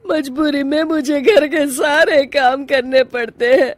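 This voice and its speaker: A woman's voice: high-pitched, fairly even intonation, measured pace, dry recording, pitched above the performer's own voice. A woman voicing a meek, put-upon older mother-in-law in a cartoon moral story, crying as she talks. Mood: tearful complaining.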